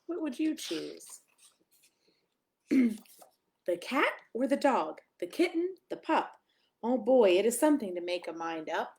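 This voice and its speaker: A woman reading a picture book aloud at children's storytime, expressive and sing-song, with emphatic pauses and little asides to the listeners. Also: a little high.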